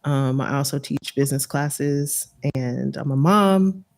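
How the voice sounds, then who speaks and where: A woman's voice: extremely low pitch, a little slow, gravelly, a little mellow. A woman from Europe, an entrepreneur, casually introducing herself in conversation. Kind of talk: casual self-introduction in a group.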